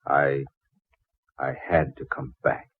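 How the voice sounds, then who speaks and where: A broken man in love in an old radio melodrama. His voice is deep and husky, with a grave, weary, halting delivery. The recording is dull and vintage. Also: flat delivery, full character voice. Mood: hurt, weary. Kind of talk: 1940s radio drama acting.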